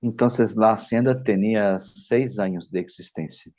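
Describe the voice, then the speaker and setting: A man with a low, mellow voice interprets a talk phrase by phrase. He relays each line plainly, and the recording sounds dull and muffled. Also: unhurried, dry recording. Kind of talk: consecutive interpreting.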